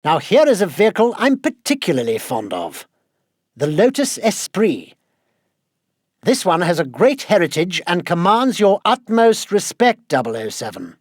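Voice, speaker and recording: Casting: proud British gadget quartermaster, high animated voice, formal and wry, video game briefings, dry recording. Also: male voice, normal speed, dry recording, heavily acted.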